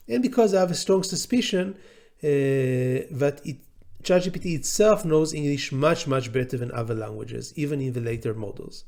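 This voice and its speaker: Measured man, mellow, Hebrew native. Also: medium pitch. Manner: measured, deliberate, with pauses and repeated words. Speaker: teacher. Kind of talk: recorded lecture to students.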